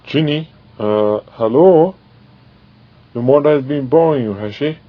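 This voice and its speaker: A man's voice: mid-range voice, unhurried, no echo, phone-quality sound, natural voice. A man playing a hostile, scornful middle-aged husband and father in an audio drama. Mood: irritated. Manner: snappy, scornful.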